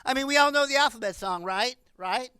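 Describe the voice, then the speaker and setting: Woman, sixties, stand-up comic, high-pitched, animated and rambling, bright voice. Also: fairly quick, smooth, dry recording, studio quality. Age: 60s. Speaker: stand-up comedian. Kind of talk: stand-up comedy monologue.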